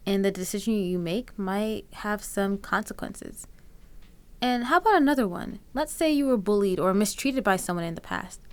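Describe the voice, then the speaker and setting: A young woman hosting a radio program, reading a scripted introduction in a chatty, playful way that addresses the listener. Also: medium pitch. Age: young adult. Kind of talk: scripted, conversational radio narration.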